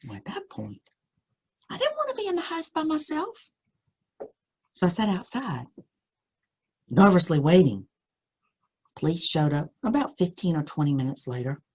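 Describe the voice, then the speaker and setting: Female storyteller, lowish husky voice, reading an eerie first-person tale with deliberate, suspenseful delivery. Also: huge pitch swings, darkish tone.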